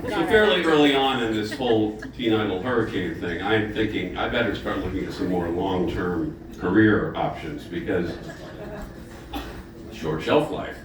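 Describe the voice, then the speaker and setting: A man in his sixties with a deep voice, a singer chatting between songs, joking at his own expense and chuckling as he talks. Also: normal speed, recorded from a distance, background noise. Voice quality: deep speaking voice. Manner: jokey, self-mocking, chuckling as he talks. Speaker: singer performing a live show. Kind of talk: stage banter between songs. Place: music club stage, indoors. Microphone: stage mic heard from the audience. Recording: echoey club taped from the crowd. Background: loud cheering crowd and band.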